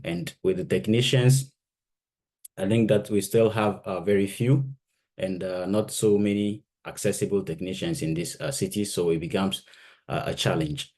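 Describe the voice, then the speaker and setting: Man in his thirties from Ghana, very deep voice, conference researcher, measured delivery. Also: darkish tone. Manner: measured, fills pauses with "uh". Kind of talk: conference presentation.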